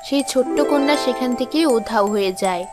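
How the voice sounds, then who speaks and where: A woman's voice: a little high, fairly quick, over music. A woman reading scripted story narration as the storyteller of a folk tale.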